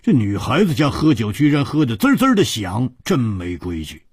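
Man acting brash, husky, blustering drinker. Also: fairly low pitch, sing-song, normal speed, darkish tone, dry recording, clean studio sound. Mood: grumbling. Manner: muttering, drawling interjections, stammering. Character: brash, teasing male drinker, a blustering rascal.

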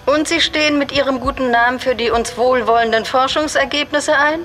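An actress playing an aloof, powerful client in an audio drama, terse, formal and businesslike. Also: female voice, sits high, fairly even intonation, fairly quick, fairly bright tone. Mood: cool, brisk. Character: aloof, powerful secret female client, brisk and exacting. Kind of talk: German audio drama acting.

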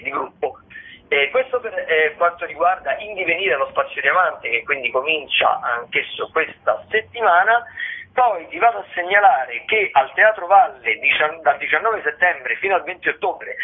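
An Italian man presenting theatre listings on the radio in a conversational, discursive way, often halting and correcting himself. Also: on the high side, breathy edge. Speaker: radio arts programme presenter. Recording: muffled, narrow phone-like radio sound.

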